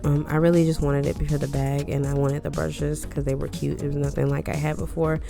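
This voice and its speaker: A woman with a deep, low voice talks through a makeup tutorial in a loose, rambling way. She sounds congested and a little scratchy from a lingering cold. Music plays clearly under her voice. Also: little pitch movement, brisk. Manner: chatty, rambling asides, frequent "um" and "like". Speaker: beauty vlogger. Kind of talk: casual makeup tutorial chat.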